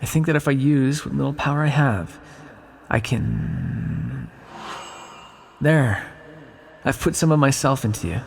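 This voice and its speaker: A man voicing an ancient imprisoned villain in an ASMR roleplay, sly, lonely and tenderly flirtatious, speaking in an intimate, teasing, musing way with dramatic pauses. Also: mid-range voice, animated, gravelly, slight background noise. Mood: urgent, tender. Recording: long reverberant echo.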